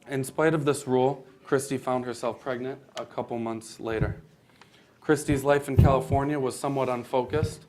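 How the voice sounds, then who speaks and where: A man's voice: mid-range voice, darkish tone. A young American man reading scripted narration for a readers theater performance, formal, with occasional stumbles over the text. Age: young adult.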